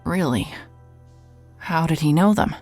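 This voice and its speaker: Female PI lead for horror audio drama: very deep voice, hard-hearted, wry, guarded. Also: expressive, a little mellow, soft background music, heavily acted. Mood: wary, insistent. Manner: wry, descriptive, halting when cornered. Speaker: narrator and lead character. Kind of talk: first-person noir narration and audio drama dialogue.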